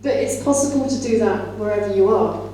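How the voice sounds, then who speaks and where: A woman's voice: mid-range voice, lively intonation, brisk, mellow. A woman speaking at a conference as a teacher trainer, explaining things to fellow teachers in a conversational way. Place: large indoor conference room. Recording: echoey hall, distant mic, faint hum.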